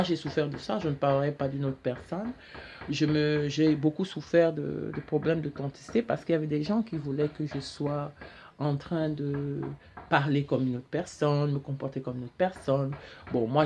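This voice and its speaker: Halting woman hosting a show. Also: low voice, creaky, dark tone, a little hiss or hum. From Cameroon. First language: English. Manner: halting, repeats words while searching for them. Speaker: talk show host. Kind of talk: solo monologue to camera.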